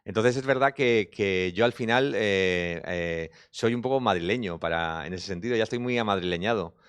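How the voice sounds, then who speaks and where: A man from the Basque Country, a film director, talking quickly and thinking aloud in a festival press interview. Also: on the low side, a bit bright, smooth, no echo. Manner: fast, talkative, thinks aloud with restarts.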